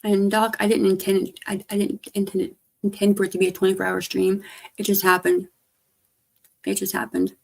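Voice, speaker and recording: Hoarse, gravelly woman rambling on stream. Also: mid-range voice, monotone, fairly quick. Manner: rambling, trailing off, soft-spoken. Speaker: livestreamer. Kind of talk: casual livestream chat.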